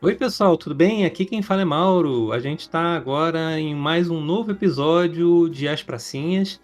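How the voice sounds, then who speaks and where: A man's voice: a little high, normal speed, soft background music. Man hosting a casual podcast. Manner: rambling, hesitant, many fillers. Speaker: podcast host.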